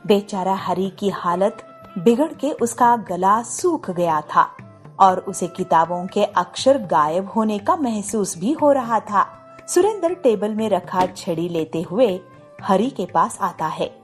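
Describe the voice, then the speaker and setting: Lady telling a moral story, slow but very lively pitch, bit of music behind. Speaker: narrator. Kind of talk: scripted moral story narration. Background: faint music.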